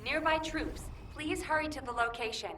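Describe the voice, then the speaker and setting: A woman voicing an earnest young mission operator in a video game, with a brisk, official delivery. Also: sits high, a bit bright, far from the mic, a little hiss or hum. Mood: urgent, official.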